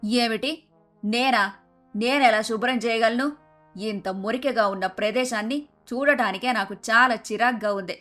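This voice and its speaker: A woman playing an older, fussy mother-in-law in a Telugu animated moral story, with a dramatic, emphatic delivery. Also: a little high, moderate pace, lightly acted. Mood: disgusted, complaining. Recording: music under the voice.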